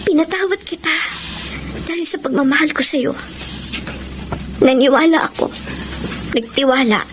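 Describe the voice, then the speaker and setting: A woman's voice: high-pitched, moderate pace, background noise, close to an everyday voice. A woman acting a heartbroken, earnest young student and girlfriend in a Tagalog radio drama. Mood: hurt, confronting. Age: young adult. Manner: emotional, earnest delivery. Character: heartbroken young nursing student, loving, wounded, suspicious girlfriend. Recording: music bed under the voice.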